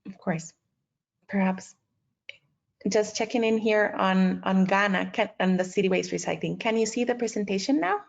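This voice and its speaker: A woman moderates a webinar with noticeable vocal fry in a mid-pitched voice. She is polite and accommodating, speaking unscripted. The sound is dull, like a compressed online call. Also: moderate pace. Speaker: host and moderator of an online panel. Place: video conference call.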